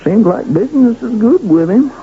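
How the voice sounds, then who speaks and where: A man's voice: extremely high pitch, sing-song, moderate pace, exaggerated voice. An actor playing a folksy, hesitant Old West townsman with a rustic American Western accent in a radio play. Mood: easygoing, folksy. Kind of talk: old-time radio drama acting. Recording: muffled old radio recording.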